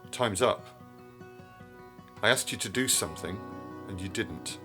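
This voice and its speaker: A man's voice: fairly low pitch, unhurried, sharp tone, faint music behind. A man playing a stern, wounded, middle-aged widowed father in an audio drama. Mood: stern, hurt.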